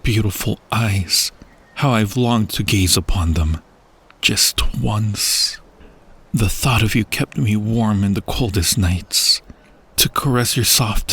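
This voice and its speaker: A man playing a dying, war-weary king and loving husband in a fantasy audio roleplay, husky, tender and broken, with archaic phrasing. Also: low voice, a bit bright, full character voice. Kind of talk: fantasy ASMR roleplay acting.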